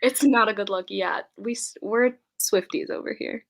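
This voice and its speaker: A woman's voice: mid-range voice, moderate pace, vocal fry. A young woman hosting a casual live-stream book chat, giggly and scattered, reading viewer comments aloud. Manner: giggly, scattered, laughs often.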